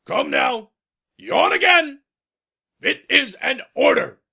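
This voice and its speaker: A man voicing a proud, pompous king in a storybook tale, raspy and commanding, drawing out his orders. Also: very high voice, animated, bright, forceful tone, pitched above the performer's own voice, heavily acted. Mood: imperious. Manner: pompous, commanding, drawn-out imperious delivery.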